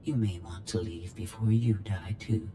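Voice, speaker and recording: A man's voice: fairly low pitch, normal speed, lightly acted. Man playing a cold machine villain over music. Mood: smug menace. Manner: clipped, precise, menacingly polite. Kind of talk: video game villain acting.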